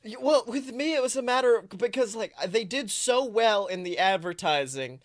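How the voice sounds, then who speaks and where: A man co-hosting a podcast, chatting casually about films in an animated, rambling way with frequent swearing. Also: high voice, normal speed, no room sound, clean studio sound. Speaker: podcast co-host.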